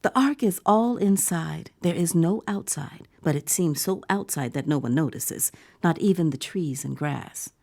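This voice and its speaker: An actress playing a naive visitor who narrates a horror audio drama in a deliberate, breathy voice that slips toward whispering. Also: female voice, fairly low pitch, warm tone. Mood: dreamy, eager. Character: dog-loving visitor narrating in a collective "we", naive, turning horrified. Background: music score.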